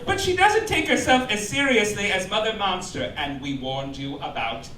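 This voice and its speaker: A high-voiced man delivers a campy stand-up monologue full of mock-official pronouncements. His pitch swoops theatrically, and he is heard from a distance in a noisy live club. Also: animated, moderate pace. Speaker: comedian, show host presiding as mock chairman. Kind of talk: stand-up comedy monologue read to a live audience. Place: cabaret club stage before a laughing audience. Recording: roomy live club, distant mic, loud noise.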